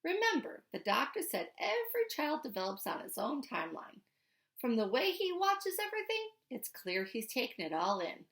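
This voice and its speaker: A woman voicing a calm, affable scientist father in a storybook, with emphatic reassurance. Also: sits high, normal speed, full character voice. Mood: reassuring. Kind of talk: storybook character reading.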